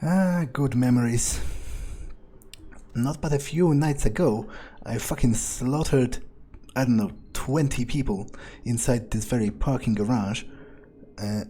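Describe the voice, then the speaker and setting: Man playing a mellow, musing, ruthless vampire. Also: medium pitch, measured pace, slightly rough. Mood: musing, darkly amused. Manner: musing, hesitant, foul-mouthed, darkly amused. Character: ruthless, casually murderous male vampire thinking aloud. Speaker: gaming streamer role-playing his character. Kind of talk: in-character improvised game commentary. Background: game music.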